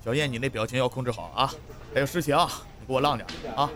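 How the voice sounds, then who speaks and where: A man's voice: medium pitch, crowd noise, natural voice. A man playing a hot-tempered, domineering film director in an audio drama, given to scolding and bossing. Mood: bossy. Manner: scolding, rapid-fire. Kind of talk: Chinese audio drama acting.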